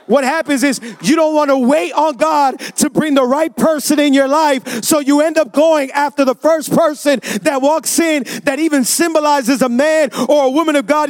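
A male pastor with a strikingly high voice delivers a sermon at a church service. He talks in an easy, conversational way and keeps prompting the congregation to answer him. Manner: conversational, asks the congregation for responses.

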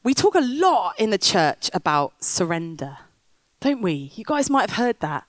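A woman's voice: medium pitch, very animated, unhurried, no echo. A woman preaching on stage, telling a story and rousing the crowd. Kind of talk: sermon with storytelling. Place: stage in a big top tent.

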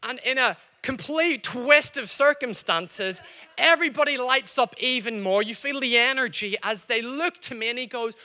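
A man's voice: extremely high pitch, normal speed, in a large hall. A man from Northern Ireland with a slightly Scottish-tinged Northern Irish accent, preaching a sermon and telling stories straight to his congregation. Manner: lively storytelling, addresses the congregation directly. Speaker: pastor.

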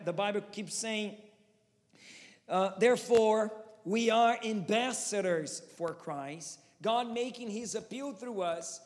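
A man in his thirties with a Brazilian Portuguese accent delivers an impassioned sermon with a fairly high voice. His rhetorical questions climb as he presses his point. The sound rings with a long echo from a large hall. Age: 30s. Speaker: pastor.

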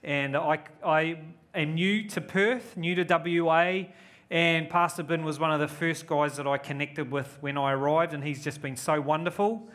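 A man's voice: fairly high pitch, steady tone, normal speed, a bit bright. A man in his forties from New Zealand, with a New Zealand accent, giving an enthusiastic guest sermon and prayer at a church service. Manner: enthusiastic, upbeat and effusive. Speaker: guest preacher.

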